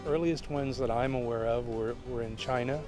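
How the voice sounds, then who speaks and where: A man explaining things informally in an interview, as a flower grower, in a halting way. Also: medium pitch, steady tone, over loud music.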